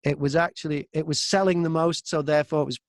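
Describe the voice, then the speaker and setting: High-voiced man telling anecdotes. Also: fairly even intonation, fast talker, no echo, clean studio sound. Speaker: audiobook narrator and voice-over artist, interview guest. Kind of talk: casual interview anecdotes. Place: inside a wardrobe used as a home booth.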